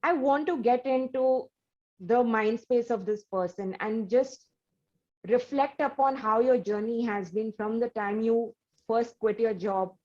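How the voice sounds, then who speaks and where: A woman's voice: fairly high pitch, measured pace, darkish tone, highs cut off. A woman hosting an interview, effusive and given to restarts and fillers. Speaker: interview host.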